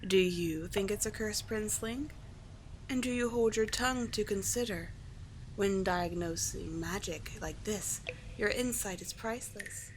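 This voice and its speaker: A woman voicing a calm, teasing sea witch with soothing, formal endearments in a scripted ASMR roleplay. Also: medium pitch, a little slow, slight background noise, lightly acted, rough recording. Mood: courtly, reassuring. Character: calm, courtly, teasing sea witch, gentle yet slightly monstrous.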